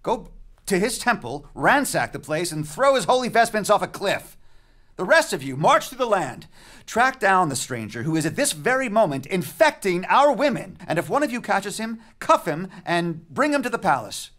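Arrogant high-pitched raging king. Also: big pitch swings, moderate pace, fairly bright tone. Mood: angry, commanding. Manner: commanding, sneering. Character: arrogant, authoritarian young male king, scornful and enraged. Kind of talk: dramatic stage reading of Greek tragedy. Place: stage in a theatre. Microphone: tabletop microphone.

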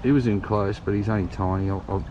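A man's voice: lowish voice, steady tone, fast talker. A middle-aged Australian man talking casually to himself while fishing from a windy shoreline. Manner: chatty, muttering to himself. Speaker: fishing vlogger. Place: outdoors on a windy harbour shore. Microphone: body-worn action camera. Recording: wind-buffeted, slightly dull camera mic.

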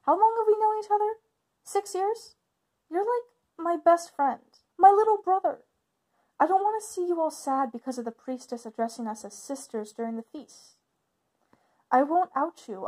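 A woman acts out a protective big sister from a witches' coven in an audio roleplay. Her voice sits high. She speaks informally and a little haltingly, always caring and reassuring toward her younger sibling. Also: dark tone, close to an everyday voice, poor audio. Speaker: voice actor in ASMR roleplay. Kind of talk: ASMR roleplay, conversational acting.

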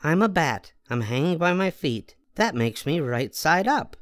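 A man's voice: fairly high pitch, very animated, a little slow, dry recording, clean studio sound, voice pushed up. A man voicing a blunt, cocksure bat stranger in a storybook. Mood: blunt, certain.

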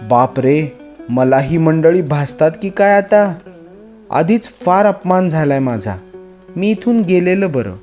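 A man's voice: on the high side, animated, slow pace, mellow, faint music behind. A man playing a proud, easily offended saintly devotee with a self-important, dramatic manner in a children's story. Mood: alarmed, offended.

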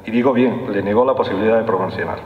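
A man's voice: fairly low pitch, very fast, phone-quality sound. Older guy in his 60s reading a formal speech at a lectern, big echoey hall. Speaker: police official giving a speech. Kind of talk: formal speech read from a script. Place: large stone hall, indoors. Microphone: lectern microphone. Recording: roomy, echoing hall with long reverb.

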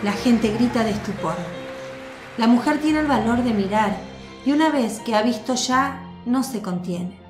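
Woman narrating a radio drama. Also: a little high. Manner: measured, descriptive. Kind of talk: scripted narration. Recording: echoey with music bed.

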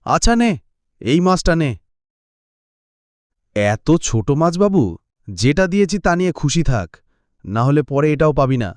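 An actor voicing village men in a Bengali fishing cartoon. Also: male voice, a little high, sing-song, normal speed, long pauses, dry recording, studio quality. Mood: irritated.

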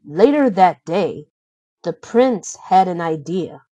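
A woman narrating a children's storybook in an expressive, deliberate read-aloud manner. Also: on the low side, no echo, lo-fi sound.

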